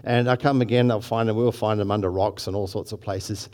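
Aussie pastor preaching, chatty then punchy, lots of restarts, big hall echo. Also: male voice, on the low side, fairly even intonation, fairly quick, a little mellow. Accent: Australian. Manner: conversational, rising to emphatic, many restarts and asides to the congregation. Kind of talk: sermon.

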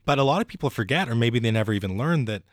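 A man's voice: medium pitch, expressive, very fast, no room sound. Friendly male podcast host, dry sound. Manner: friendly, with many fillers and affirmations. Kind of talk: casual interview.

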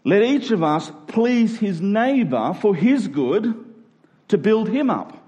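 A man's voice: high-pitched, sing-song, takes it slowly, warm tone, dull audio. A man with an Australian accent preaching a conversational sermon, explaining step by step and leaning on key phrases. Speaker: pastor.

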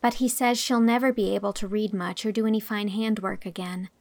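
A woman's voice: medium pitch, steady tone, brisk, gravelly, darkish tone, natural voice. A woman voicing an eager, earnest young woman.

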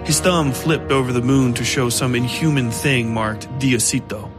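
A man reads scripted horror fiction as a dramatic narrator. His voice sits at a middling pitch, with music clearly running underneath. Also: steady tone, normal speed. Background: music bed.

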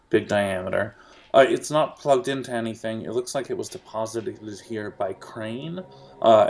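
A man's voice: fairly low pitch, vocal fry, breathy edge. A young man narrating a tabletop game as game master, rambling and jokey, with many fillers.

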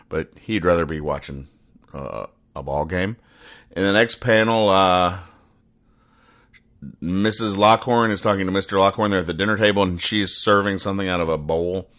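A man hosting a comedy podcast, explaining things in a deadpan, pedantic, halting way with earnest over-explanation. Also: sits low. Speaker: podcast host.

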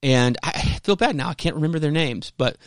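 A man's voice: a little high, lively intonation, fairly quick, clean tone, dry recording, studio quality. A man hosting a podcast with casual, rambling commentary, often cutting himself off and swearing freely. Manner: rambling, hesitant, self-interrupting, profane. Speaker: podcast host.

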